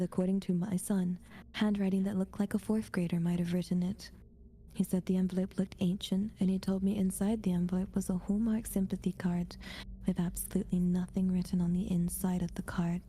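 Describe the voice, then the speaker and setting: A woman's voice: fairly low pitch, a little hiss or hum, voice pushed down, natural voice. A woman voicing an anxious eighty-two-year-old in a whispered, solemn scary-story confession for ASMR. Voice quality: breathy, hushed whisper. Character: anxious 82-year-old grandparent haunted by mysterious sympathy cards.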